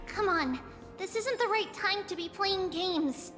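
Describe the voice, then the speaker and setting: A woman playing a childlike, earnest and excitable little girl oracle in an anime-style video game. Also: very high-pitched, measured pace. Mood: puzzled, pensive. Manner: cute childish delivery, speaks of themselves by name. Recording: long reverb, loud music behind.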